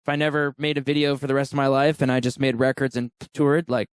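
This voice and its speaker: Smooth-voiced man in his thirties. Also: medium pitch, little pitch movement, fast, no room sound, studio quality. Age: 30s. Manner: candid, laughs often. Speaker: podcast guest, musician. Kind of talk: casual interview chat.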